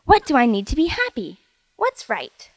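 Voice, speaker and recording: Storybook kid: high, lively little boy, earnest and breathless, voiced by a woman narrator. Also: moderate pace, no room sound, heavily acted. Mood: eager. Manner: breathless, eager, childlike. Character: excited little boy, wide-eyed and earnest.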